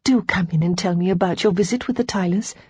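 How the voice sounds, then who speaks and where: A woman narrator voicing Rachel, a kind, gentle elder sister who speaks softly and steadily. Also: on the low side, fast, breathy edge, dry recording. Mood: soothing. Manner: soft, soothing, steady.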